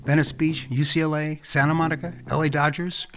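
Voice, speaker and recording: An American man from Los Angeles, hosting his own podcast with calm, reflective scripted narration. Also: fairly high pitch, brisk, faint music behind. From Los Angeles, California. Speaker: podcast host.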